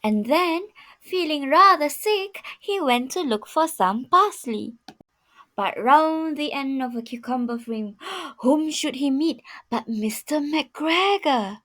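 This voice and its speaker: A woman narrating a children's storybook aloud in an expressive, dramatic way for young listeners. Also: high-pitched, slow.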